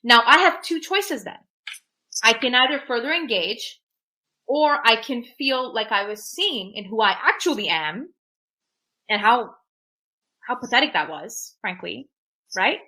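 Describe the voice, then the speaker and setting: A woman's voice: fairly high pitch, expressive, unhurried, pauses often, bright, forceful tone, rough recording. A woman whose first language is Urdu, talking through personal experiences and advice in an emphatic, reflective way and often checking in with "right?". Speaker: podcast guest, technologist.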